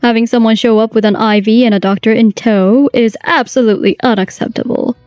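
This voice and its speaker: A woman voicing a stern company chairman in a manga dub, indignant and sharp. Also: a little high, fairly even intonation, brisk, no echo, soft background music, lightly acted.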